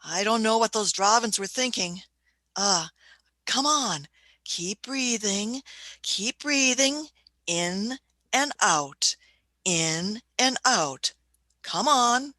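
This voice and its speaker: A woman playing a fatherly old male doctor in a radio play, brisk and reassuring, urging in short repeated phrases. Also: mid-range voice, expressive, bright, forceful tone, dry recording. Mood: soothing, encouraging.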